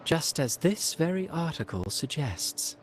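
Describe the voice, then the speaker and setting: A sly theatrical playwright. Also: male voice, a little high, very animated, a little slow, a little hiss or hum. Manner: dramatic, teasing, mysterious storytelling. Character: theatrical, scheming male playwright. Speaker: game character. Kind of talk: game cutscene voice acting.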